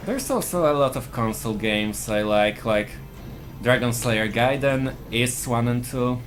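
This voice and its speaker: A man's voice: mid-range voice, measured pace. A man with a Polish accent, a speedrunner explaining his tactics plainly while he plays live. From Poland. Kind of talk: live gameplay commentary. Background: game music.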